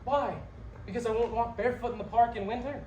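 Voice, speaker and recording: A woman's voice: fairly high pitch, steady tone, quick pace, mellow, recorded from a distance, lightly acted. Echoey, exasperated young husband played by a woman. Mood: dry, irritated. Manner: dry, sarcastic, exasperated. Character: practical, exasperated young newlywed husband, a sarcastic lawyer. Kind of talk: stage comedy-drama acting. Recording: echoey room with background noise.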